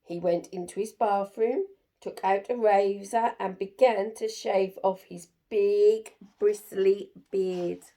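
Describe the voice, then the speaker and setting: A woman storyteller with a medium-pitched voice. She reads a children's tale slowly and expressively, leaving space between phrases. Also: fairly even intonation, mellow.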